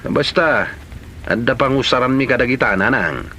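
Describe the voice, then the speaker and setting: Male actor as touchy scheming husband, Ilocano accent, bright tense voice, middling pitch, radio comedy drama, faint noise. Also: normal speed. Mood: insistent. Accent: Filipino, Ilocano.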